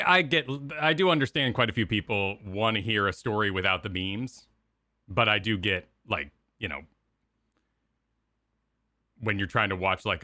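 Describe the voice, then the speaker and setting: A man co-hosting a gaming reaction stream, giving casual, animated, bantering commentary. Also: fairly low pitch, dry recording.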